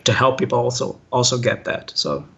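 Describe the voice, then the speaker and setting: A man's voice: mid-range voice, expressive, brisk, gravelly, sharp tone, slightly rough. A Brazilian man whose first language is Portuguese, an online language teacher answering interview questions in a hesitant way, with many fillers and restarts, over a video call.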